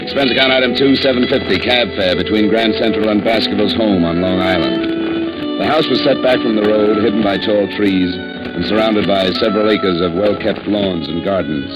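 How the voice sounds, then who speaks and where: Noir radio lead, male actor as a sardonic insurance sleuth: deep, dry delivery, music behind, old muffled recording. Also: normal speed, lightly acted. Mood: dry narrating. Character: wry, hard-boiled male insurance investigator. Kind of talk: 1950s radio drama, first-person noir narration.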